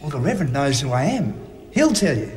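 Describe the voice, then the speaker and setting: A man's voice: fairly high pitch, sing-song, fairly quick, soft background music. A young man with an Australian accent playing a flustered law student, sounding exasperated and pleading. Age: young adult. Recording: film trailer with loud background.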